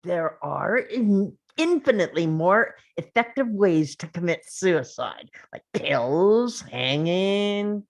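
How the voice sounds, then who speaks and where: Woman as a nerdy know-it-all kid, earnest, halting, really lively pitch, takes it slow. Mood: pedantic.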